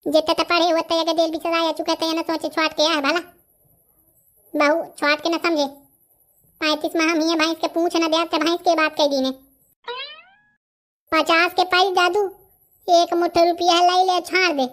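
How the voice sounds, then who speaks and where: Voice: very high voice, level tone, normal speed, long pauses, fairly bright tone. An actor with a Bundelkhandi accent voicing a chatty, stubborn young villager in a comedy cartoon. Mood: stubborn, bargaining.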